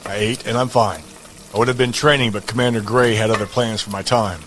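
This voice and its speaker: A man's voice: lowish voice, steady tone, fairly quick, noisy background, natural voice. An actor playing a curt, commanding man who demands loyalty, blunt and authoritative, in an audio drama. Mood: calm, curt.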